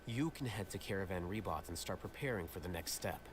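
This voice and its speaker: A calm, aloof young male scholar from an anime game, with a fairly low voice. Each line is deliberate and calculating. The audio has noticeable noise behind it. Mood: detached. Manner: measured, cool, deliberate.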